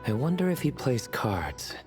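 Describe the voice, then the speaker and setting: A man playing a serious, deadpan young man with dry humor in a video game. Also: mid-range voice, normal speed, over music, close to an everyday voice. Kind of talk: video game character acting.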